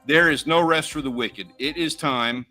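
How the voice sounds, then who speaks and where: A man's voice: fairly high pitch, normal speed, pushed and bright, faint music behind. An American man co-hosting a casual outdoors livestream, chatty and laid-back as he reads out viewer names and runs polls. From United States. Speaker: livestream co-host.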